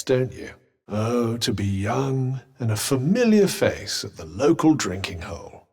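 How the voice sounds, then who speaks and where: Husky, sardonic magic bracelet. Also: male voice, medium pitch, darkish tone. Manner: dry, wry and teasing. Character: sardonic talking magic bracelet, male, adult. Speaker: companion character. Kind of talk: video game voice lines.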